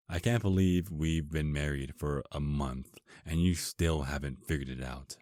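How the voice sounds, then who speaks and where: A man's voice: a bass voice, takes it slowly, a little mellow, no room sound, clean studio sound. A man playing an affectionate, teasing vampire husband in an intimate ASMR romance roleplay, murmuring tenderly and flirtatiously. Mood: teasing, amused.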